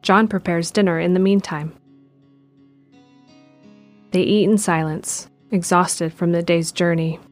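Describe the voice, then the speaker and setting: A woman giving steady scripted narration of an audio drama in the present tense. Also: fairly low pitch, unhurried, pauses often, faint music behind.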